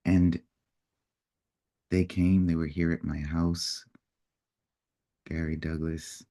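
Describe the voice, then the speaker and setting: A man speaking in an unhurried, reflective way as he tells a personal story, now and then acting out what people said. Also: low voice. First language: English. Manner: unhurried, reflective storytelling with lively acted-out quotes. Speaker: personal development facilitator.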